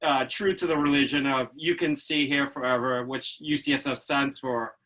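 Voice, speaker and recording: Trinidadian-accented male doctor, middle-aged, bright tone, chatty anecdotal delivery. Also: medium pitch, steady tone, moderate pace. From Trinidad and Tobago. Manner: chatty, storytelling with fillers like "like" and "you know". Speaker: infectious disease physician guest. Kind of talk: relaxed anecdotal conversation.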